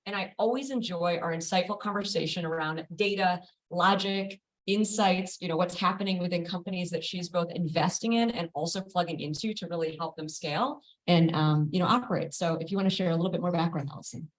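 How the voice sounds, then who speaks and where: Lady moderating a panel at a live event, lowish voice, chatty intros. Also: brisk, hardly any pauses, rough recording. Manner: friendly, conversational introductions. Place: event space with a live audience.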